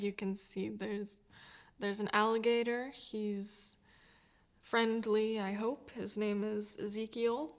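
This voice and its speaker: Soft-spoken young woman, reflective, laughing often. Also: medium pitch. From New Zealand, raised in the United States. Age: 20s. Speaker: violinist. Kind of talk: documentary interview.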